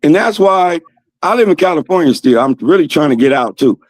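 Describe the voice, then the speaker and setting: A man's voice: on the high side, lively intonation, fairly quick, no room sound. A man of fifty, a guest in a casual chat, talking earnestly and agreeing with the host. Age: 50s.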